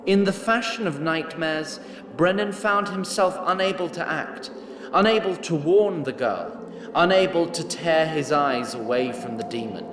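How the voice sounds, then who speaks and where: A man narrating a scripted fantasy story in a measured, dramatic manner with an occasional wry touch. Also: on the high side, expressive, echoey room, big-room sound, crowd noise.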